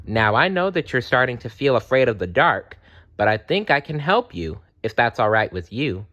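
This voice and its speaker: A man's voice: medium pitch, sing-song, moderate pace, pushed and bright, highs cut off. Man playing a reassuring folksy bedtime bear. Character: friendly male cartoon bear, gentle bedtime helper.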